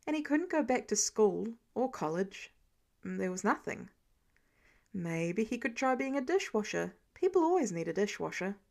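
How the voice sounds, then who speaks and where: A woman's voice: mid-range voice, sing-song, normal speed, gravelly, darkish tone. A woman reading a story aloud as scripted narration, acting it out expressively. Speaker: podfic narrator reading fan fiction.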